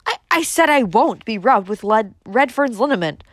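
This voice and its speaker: A woman's voice: on the high side, big pitch swings, moderate pace, dry recording, clean studio sound, pitched above the performer's own voice. A woman voicing a downtrodden young woman in a storybook, hesitant yet stubborn. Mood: defiant. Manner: hesitant, stammering, then insistent. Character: downtrodden young woman turning rudely defiant.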